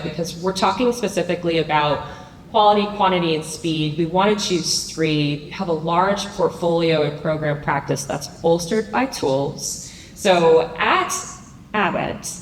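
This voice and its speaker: Low-voiced woman moderating a panel. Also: echoey room, recorded from a distance, slight background noise, rough recording. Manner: chatty, upbeat, with many fillers. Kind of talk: conference panel talk. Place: large conference room.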